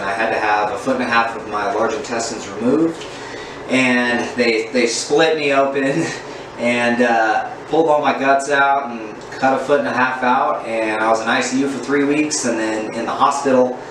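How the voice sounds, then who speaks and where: A man in his thirties candidly telling his personal story in a casual vlog, in an earnest, unhurried way. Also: mid-range voice. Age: 30s. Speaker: vlogger. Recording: very echoey room, distant mic, steady background hum.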